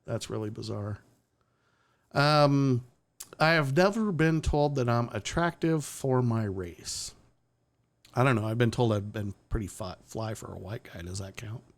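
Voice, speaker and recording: A man's voice: medium pitch, lively intonation, unhurried. Male stream host, blunt and sarcastic, casual opinionated delivery, swears freely. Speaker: podcast host.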